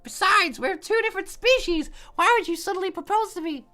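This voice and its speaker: A woman's voice: extremely high pitch, fairly quick, bright, forceful tone, faint music behind. A woman voicing an anxious, easily flustered young woman from an anime visual novel, exclaiming and stammering dramatically. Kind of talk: visual novel read aloud in character.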